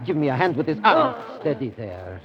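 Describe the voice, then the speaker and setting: An actor playing a fussy, self-satisfied colonial company manager in a 1930s radio drama, with a pompous, repetitive way of talking. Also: male voice, a little high, huge pitch swings, fairly quick. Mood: musing, uneasy. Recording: muffled, noisy 1930s radio recording.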